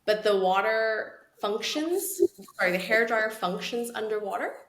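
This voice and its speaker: A woman chatting as a guest in an interview over a video call from a small room at home, relaxed and playful, laughing easily. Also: a little high, a little slow. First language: English. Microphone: video call with laptop mic. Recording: echoey room with faint noise.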